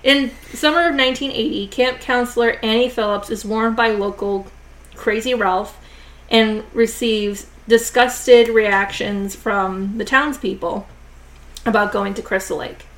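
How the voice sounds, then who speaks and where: Woman podcast host, kinda high voice, says like a lot, jaw's sore so she goes a bit slow. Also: fairly even intonation, a bit bright, low-quality recording. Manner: chatty, many "like" and "you know" fillers. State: sore jaw, talking a bit slowly. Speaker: podcast host reviewing horror films. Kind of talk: casual conversational film review.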